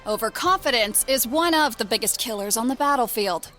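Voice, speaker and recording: A woman's voice: on the high side, expressive, fairly quick, sharp tone, soft background music. An actress playing a tough but fair female knight captain in an audio drama, commanding, firm and no-nonsense. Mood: stern. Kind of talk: scripted audio drama acting.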